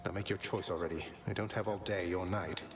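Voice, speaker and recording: An actor playing a cocky young prince of the gods in a video game, with a wry, nonchalant manner. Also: male voice, sits low, steady tone, a little fast. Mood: firm, impatient. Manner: wry, nonchalant, politely cheeky. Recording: loud music behind the voice. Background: loud game music.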